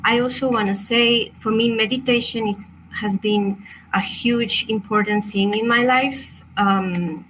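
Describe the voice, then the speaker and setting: Bright-voiced Chilean woman presenting a workshop. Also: on the high side, steady tone, a little slow, slight background noise, rough recording. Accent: Chilean Spanish. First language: Spanish. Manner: soft-spoken, hesitant, many fillers and restarts. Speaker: crystal workshop teacher.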